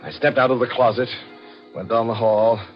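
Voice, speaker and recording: An American actor playing a guilty, rattled man who bluffs innocence in halting, repetitive phrases, in 1940s radio drama style. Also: medium pitch, fairly even intonation, close to an everyday voice. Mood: uneasy narration. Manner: halting, repeating words in agitation. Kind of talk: 1940s radio acting, first-person narration and dialogue. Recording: muffled old radio broadcast, faint music.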